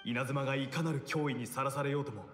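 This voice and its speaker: A man's voice: mid-range voice, quick pace, noisy background, lightly acted. A man voicing a devoted samurai soldier in a video game, reverent and formal, with an echoing sound. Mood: reverent, earnest. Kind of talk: Japanese anime-style game voice acting. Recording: echoing, long reverb over music.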